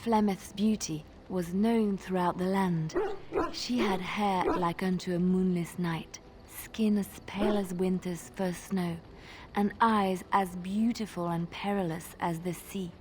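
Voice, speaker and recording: A female traveling minstrel played by an actress from a fantasy video game, with a slightly low voice. She is a soft-spoken, wistful storyteller who spins her tales with lyrical, measured suspense. A little noise sits under the recording. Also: fairly even intonation, lightly acted. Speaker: game companion character.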